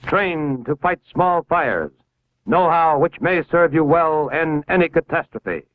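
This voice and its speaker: Formal 1950s American male film narrator, higher-pitched. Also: a little slow, fairly bright tone, no room sound, low-quality recording. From United States. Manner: formal, authoritative, instructional. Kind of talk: 1950s civil defense film narration, scripted. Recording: vintage 1950s film soundtrack.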